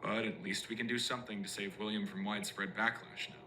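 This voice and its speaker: An actor playing a self-assured, persuasive male official in a horror drama, speaking in a deliberate, theatrical and emphatic way. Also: on the low side, steady tone, pushed and bright, a little hiss or hum. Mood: measured, decisive. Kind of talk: scripted analog horror drama acting.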